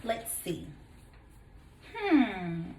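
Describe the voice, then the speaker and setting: A woman teacher reading a story aloud to young children in an expressive, deliberate way, prompting them with questions. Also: medium pitch. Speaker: kindergarten teacher. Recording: echoey room.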